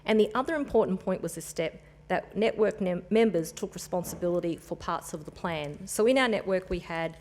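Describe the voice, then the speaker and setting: A woman with a lowish voice presents at a forum in a conference room. She works steadily through her points in a businesslike way, with the odd light aside to the audience. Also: fairly quick, few pauses, a little mellow.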